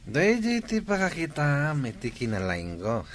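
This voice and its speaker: A man's voice: a little high, wildly swooping pitch, unhurried, slight background noise. A man acting in a radio drama as a hapless drunkard husband, groaning and complaining plaintively.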